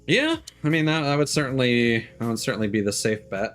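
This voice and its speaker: A man chatting casually as he hosts a game stream, rambling and halting, with stammers and offhand swearing. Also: mid-range voice, expressive. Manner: casually profane. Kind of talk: casual livestream chat. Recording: music playing behind the voice.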